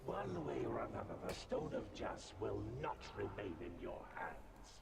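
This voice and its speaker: Gravelly, haughty god, noisy audio. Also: male voice, fairly low pitch, big pitch swings, measured pace, recorded from a distance, heavily acted. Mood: stern defiance. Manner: formal, archaic, declamatory. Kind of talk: fantasy game voice acting.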